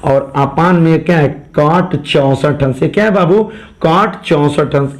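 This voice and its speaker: A man teaching a math class with a hoarse voice, speaking with a tinge and coaxing students through each step. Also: fairly high pitch. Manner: coaxing, repeats steps and checks understanding.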